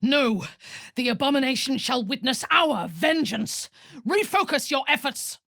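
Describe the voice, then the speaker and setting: A woman playing a ruthless, imperious warrior commander of a dark cult in a fantasy video game, cold, commanding and disdainful. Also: high voice, lively intonation, measured pace, gravelly, no room sound, clean studio sound, full character voice. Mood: furious.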